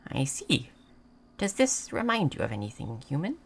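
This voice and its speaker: A woman playing a gentle, naive moth person in a roleplay audio, speaking in halting, simple, formal phrases. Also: sits low, big pitch swings, vocal fry, a little hiss or hum, exaggerated voice. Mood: curious, hesitant. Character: gentle, naive, curious moth person learning human things. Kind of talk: scripted roleplay audio addressed to the listener.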